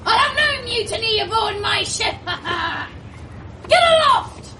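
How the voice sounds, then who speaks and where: Shrill boy pirate captain, yelling. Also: animated, slow pace, full character voice. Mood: fierce, commanding. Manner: commanding, theatrical yelling. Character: bossy English boy playing a ferocious pirate captain. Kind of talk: period radio drama acting. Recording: very noisy, distant archive recording.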